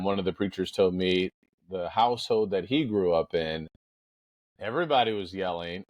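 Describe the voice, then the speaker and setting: A middle-aged man with a powerful voice, hosting a podcast in an emphatic, deliberate motivational monologue with storytelling. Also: fairly low pitch, dry recording. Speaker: podcast host.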